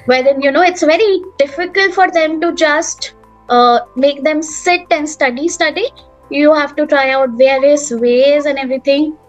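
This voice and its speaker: A woman from Mumbai with an Indian English accent and a soft voice, answering interview questions hesitantly with many fillers over a video call. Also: high voice, normal speed. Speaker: guest, teacher. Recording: dull lo-fi sound with faint music.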